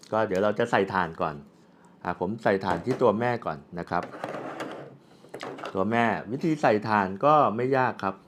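Male product reviewer, lowish voice, casual explanatory demo delivery, noisy ambient recording. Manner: explanatory, with frequent polite particles and spoken handling sounds. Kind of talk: casual unscripted product demonstration. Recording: clearly audible background ambient noise.

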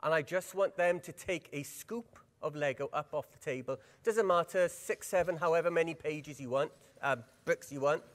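A middle-aged man with a Welsh accent running a workshop at a conference, lively and engaging, putting questions to the audience. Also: a little high, normal speed, few pauses. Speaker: workshop presenter. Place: large conference hall. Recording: long hall reverb.

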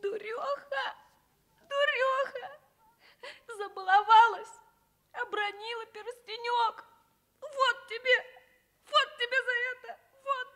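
A woman's voice: extremely high pitch, slow pace, pauses often, sharp tone, voice pushed up, full character voice. A woman voicing an earnest, emotional little village girl in a Soviet radio story, exclaiming in a childlike way. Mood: upset, self-scolding.

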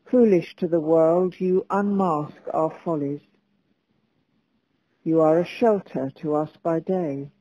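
A woman priest leading prayers and a scripture reading in a slow, calm, measured way. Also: on the low side, dry recording, muffled recording.

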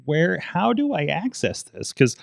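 A man's voice: high voice, very animated, unhurried, gravelly, no echo. A man in his forties hosting a tech podcast, chatting casually with lots of fillers and restarts into a studio mic. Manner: rambling, many fillers and restarts. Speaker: podcast host. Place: small studio with foam panels. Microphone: studio mic on boom arm, headphones.

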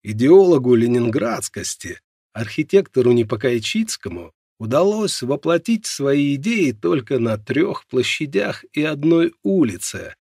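Man, audiobook narration, husky, gravelly voice, measured phrasing, dry close sound. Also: mid-range voice, very animated, darkish tone, no echo.

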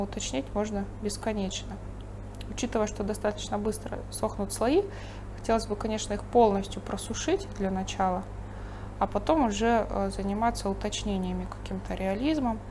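A woman artist teaching, thinking aloud calmly as she paints a still life at her table. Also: medium pitch, slight background noise. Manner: thinking aloud while painting, calm and explanatory. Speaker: painting instructor. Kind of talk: painting demonstration with commentary. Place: studio table, overhead camera on the painting.

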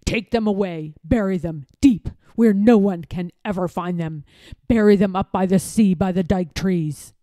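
A woman's voice: mid-range voice, measured pace, mellow, no echo, clean studio sound, lightly acted. A woman voicing a scheming, bullying lord of a royal castle in a children's story, with a harsh, commanding manner. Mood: urgent command.

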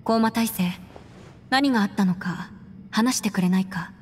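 A woman acting in a Japanese game as a composed, proud young noblewoman adventurer, speaking in a measured, firm and formal way. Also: medium pitch, a little hiss or hum, lightly acted. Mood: inquiring, earnest. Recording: long reverb, faint music behind.

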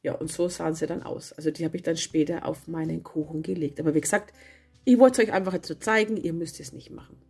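A woman with a cold and a hoarse voice, chatting casually as she narrates a home baking video and correcting herself as she goes. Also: sits low, fast. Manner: chatty, self-correcting, homely. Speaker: cooking channel host. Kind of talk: casual recipe voice-over. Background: soft guitar music.

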